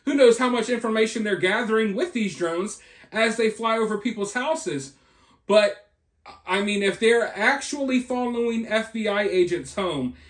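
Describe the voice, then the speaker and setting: A man's voice: high voice, a bit bright. A man hosting an opinionated news commentary, speaking emphatically with repeated points and rhetorical questions. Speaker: video host and commentator.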